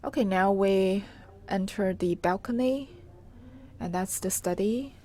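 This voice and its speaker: A woman reporter narrates an unscripted walk-through on location, with some faint noise around her. Her pitch is average and her pace is slow. She speaks haltingly, often pausing in the middle of a phrase. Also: warm tone. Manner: halting, hesitant delivery with pauses mid-phrase. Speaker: reporter giving a live guided tour. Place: on location inside an old house. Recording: clearly noisy on-location live recording.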